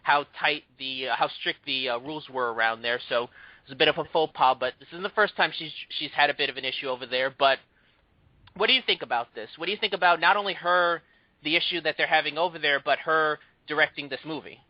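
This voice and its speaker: Rambling male podcast host, slightly high. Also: fast talker, dry recording. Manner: rambling, many fillers and restarts. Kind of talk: casual movie news chat. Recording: dull, dry lo-fi sound.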